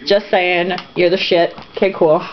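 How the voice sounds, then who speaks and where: A woman's voice: on the low side, level tone, moderate pace. A woman reading a novel aloud informally, breaking off for giggly, sarcastic, profane asides. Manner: irreverent, giggly, sarcastic commentary. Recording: muffled, lo-fi home recording with background noise.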